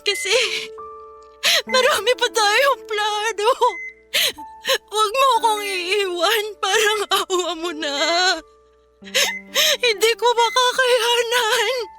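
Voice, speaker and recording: A woman's voice: extremely high pitch, takes it slowly, bright, forceful tone, full character voice. A woman playing a distraught young woman who tearfully pleads for her lover in a Filipino radio drama. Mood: tearful pleading. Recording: music playing behind the voice.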